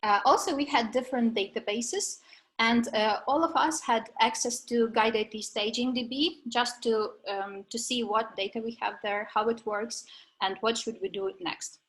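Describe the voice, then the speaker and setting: A young woman explains a software project step by step. Her voice sits a little high, and she sounds careful and methodical as she presents at a graduation event. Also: steady tone, moderate pace. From Ukraine. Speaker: graduating coding student presenter.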